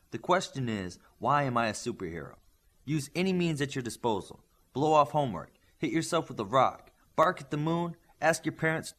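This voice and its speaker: A man's voice: medium pitch, fairly bright tone. A man voicing a stern, demanding superhero team coach in an audiobook, giving clipped, commanding instructions. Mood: emphatic.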